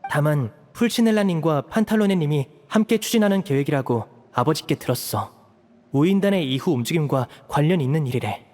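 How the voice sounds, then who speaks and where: A man voicing a composed, protective young elder brother in an anime-style game, explaining things in a measured, serious way. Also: on the high side, lively intonation, a little mellow, lightly acted. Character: composed young magician, protective eldest brother, earnest strategist.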